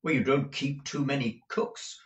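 A man's voice: mid-range voice, a little slow, roomy sound, distant mic, pitched above the performer's own voice, natural voice. A man reading aloud and voicing a cold, domineering older moneylender who speaks curtly and dryly. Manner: curt, dry, peremptory.

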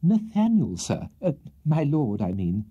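Guy doing a nervous young witness, feeble and high, all flustered. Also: wildly swooping pitch, measured pace, slightly rough, narrow, tinny audio, full character voice.

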